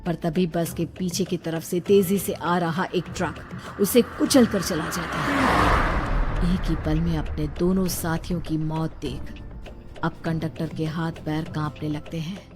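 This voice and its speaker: A woman's voice: low voice, steady tone, measured pace. A woman narrator reading a scripted horror story in a storytelling style. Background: music.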